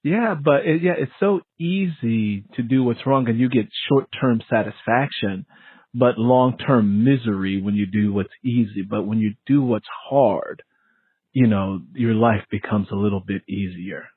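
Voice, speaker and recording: A man in his fifties hosting a casual interview, animated and jokey, acting out reactions as he talks. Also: lowish voice, a little slow, husky, dry recording. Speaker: interview host. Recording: muffled narrow phone-like line.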